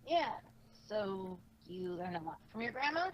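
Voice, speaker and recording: A woman's voice: medium pitch, sing-song, a little slow, highs cut off. A woman voicing a small young male dragon, cheeky and bashful, talking offhand in a cartoon fan story. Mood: curious, approving. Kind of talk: amateur cartoon fan fiction reading. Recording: faint background noise.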